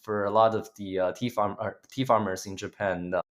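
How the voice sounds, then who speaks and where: Low-voiced man, halting podcast talk. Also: fairly even intonation, fairly bright tone. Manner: hesitant, many "uh" fillers and restarts. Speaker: podcast co-host. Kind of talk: casual podcast discussion.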